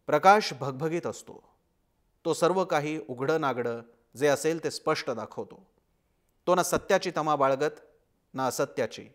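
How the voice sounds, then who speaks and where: A man giving a dramatic, scripted literary reading with measured, expressive phrasing. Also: a little high.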